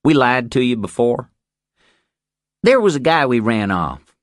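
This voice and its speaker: Man voicing a high-pitched cocky young ranch hand. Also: big pitch swings, unhurried, dry recording, studio quality. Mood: smug.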